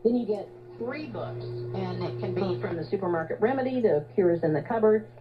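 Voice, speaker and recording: A woman with an average-pitched voice hosts a radio show. She delivers an unscripted sales pitch to listeners. The shortwave sound is dull and lo-fi, and music plays behind her. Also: lively intonation, moderate pace. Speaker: radio host selling supplements.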